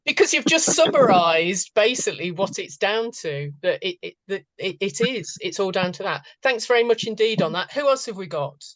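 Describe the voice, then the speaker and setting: A woman hosting a webinar, asking brisk, probing questions over a video call. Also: medium pitch, big pitch swings, a bit bright, no echo, low-quality recording. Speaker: webinar host.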